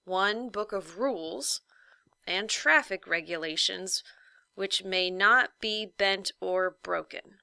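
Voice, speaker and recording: A woman voicing a formal printed notice in a whimsical storybook, official and measured, drawing out key words. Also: medium pitch, creaky, sharp tone, no room sound, full character voice. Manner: official, measured, drawn-out emphasis.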